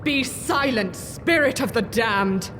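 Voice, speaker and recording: A woman's voice: fairly high pitch, expressive, takes it slowly, in a large hall, over loud music. A woman voicing a stern, resolute game companion, speaking formally with a grave, commanding manner.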